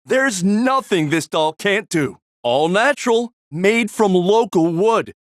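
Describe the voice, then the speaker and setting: Game NPC: eager souvenir salesman, high, animated, dry recording. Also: takes it slowly, no echo. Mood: eager patter. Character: eager souvenir doll seller, salesman patter. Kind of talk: video game NPC lines.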